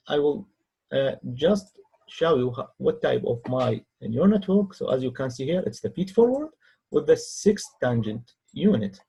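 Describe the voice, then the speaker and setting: Muffled recording of a guy with a husky voice, non-native english, walking thru a software demo kinda haltingly. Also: mid-range voice. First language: not English. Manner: halting, non-native phrasing with hesitant pauses. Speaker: researcher demonstrating own software. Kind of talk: tutorial screen-recording narration.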